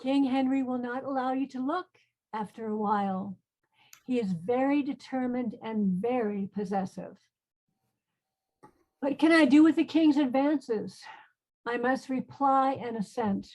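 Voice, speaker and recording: A woman plays a doomed young queen in a stage drama. Her voice is high, and she speaks in an earnest, measured way, proud and devout. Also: a little mellow, low-quality recording. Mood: wistful, earnest. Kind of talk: online play reading.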